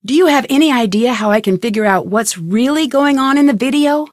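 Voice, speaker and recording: Casting: actress for a determined, curious young woman detective in a mystery game; higher-pitched voice, clear diction, very dry recording. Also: lively intonation, a little fast, no room sound, close to an everyday voice. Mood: inquisitive. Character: young female amateur detective, inquisitive and determined. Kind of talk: scripted video game dialogue.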